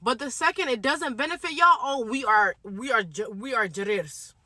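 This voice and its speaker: A woman's voice: fairly high pitch, expressive, normal speed, sharp tone, dry recording. A woman of Somali Bantu background speaking in an indignant, heated monologue to camera. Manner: indignant, repeats mocking quotes. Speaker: social media commenter.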